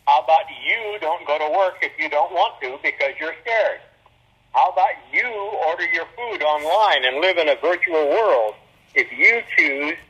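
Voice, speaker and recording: Bright, husky man chairing a meeting. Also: a little high. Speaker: board chair. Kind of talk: chairing a public meeting, reading aloud. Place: public board meeting. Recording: dull, muffled teleconference audio.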